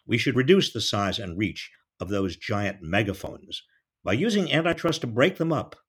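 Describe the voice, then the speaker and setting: A man with a husky voice delivering scripted political commentary straight to listeners, in emphatic, deliberate phrasing. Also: fairly low pitch, very animated.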